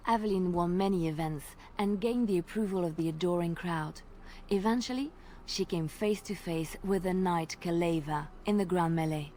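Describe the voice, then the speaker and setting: A woman voicing a graceful female bard in a video game, telling tales in a measured, lilting way. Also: on the low side, dark tone, slight background noise, natural voice. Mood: storytelling. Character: graceful female bard, gentle devout storyteller. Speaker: game character. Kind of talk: voiced game dialogue.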